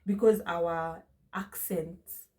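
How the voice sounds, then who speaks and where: A woman's voice: fairly low pitch, fairly even intonation, very slow, mellow. A young woman with a Kenyan accent, talking to her vlog audience in an emphatic way and repeating phrases for stress. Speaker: vlogger. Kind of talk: casual opinion vlog.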